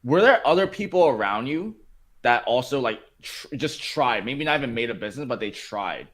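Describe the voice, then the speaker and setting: A man co-hosts a casual live stream, chatty and teasing, and often interrupts with questions. His voice rises and falls a lot as he talks, at an ordinary mid pitch. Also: expressive, moderate pace, fairly bright tone. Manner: playful, chatty, jumps in with questions. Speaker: co-host.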